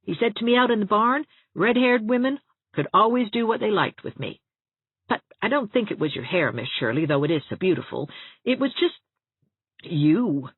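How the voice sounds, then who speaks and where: A woman's voice: mid-range voice, expressive, normal speed, husky, no echo, narrow, tinny audio, pitched above the performer's own voice. A woman reading a storybook as an earnest, grateful teenage schoolboy, eager and sincere.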